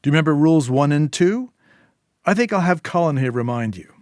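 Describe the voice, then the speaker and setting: A man playing an elegant, coldly menacing crime boss in a story reading, formal, measured and commanding. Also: a little high, animated, a little mellow, dry recording, studio quality, pitched above the performer's own voice, natural voice. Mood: menacing politeness.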